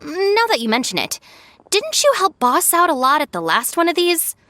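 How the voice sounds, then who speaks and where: A woman's voice: extremely high pitch, sing-song, moderate pace, background noise. A woman playing a sensible, stern young gang deputy in an anime game, speaking in a firm, no-nonsense way. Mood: resigned.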